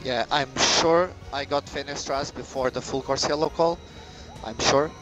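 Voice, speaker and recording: A man's voice: mid-range voice, normal speed, poor audio. A man driving a race car, talking to his team over cockpit radio. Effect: team radio transmission. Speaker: racing driver. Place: inside a racing car cockpit. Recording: cockpit radio under loud music, car noise.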